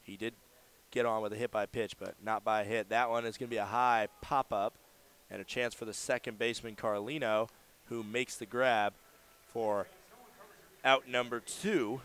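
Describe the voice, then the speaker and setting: A man doing live radio play-by-play commentary of a baseball game, in a measured delivery that lifts for the action. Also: mid-range voice, steady tone, fairly bright tone, clean tone.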